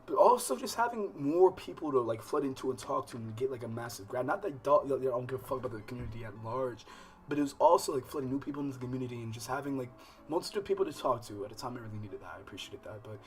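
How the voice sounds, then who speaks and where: A man chatting casually as a livestream host, animated, with slangy, profane speech and rambling unfinished thoughts. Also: medium pitch, very fast. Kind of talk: casual livestream chat, reacting and addressing viewers.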